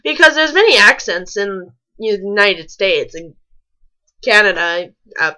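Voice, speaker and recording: Animated, bright-voiced woman mocking a story. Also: medium pitch, unhurried, rough recording. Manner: animated, incredulous, sarcastic, stumbles while reading. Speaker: co-host reading fan fiction aloud. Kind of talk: mocking read-aloud with casual commentary.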